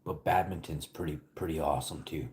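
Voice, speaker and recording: A man's voice: very deep voice, brisk, darkish tone. A man live-streaming, chatting casually with his viewers and answering their questions between bites of food.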